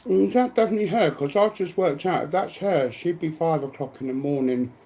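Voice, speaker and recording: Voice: medium pitch, a little fast, dark tone. A person chatting casually in a matter-of-fact, correcting way. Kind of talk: casual chat.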